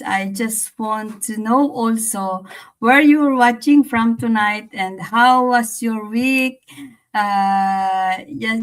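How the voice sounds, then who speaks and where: Female livestream host, Filipino accent, very slow halting delivery, mid-pitched, casual reflective chat with repeated phrases. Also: low-quality recording. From Philippines.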